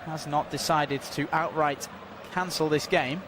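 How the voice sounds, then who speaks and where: A man giving unofficial live commentary on a football match, calmly filling a stoppage with updates and statistics. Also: fairly high pitch, background noise. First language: not Dutch. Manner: measured, informative, filling time with stats and updates.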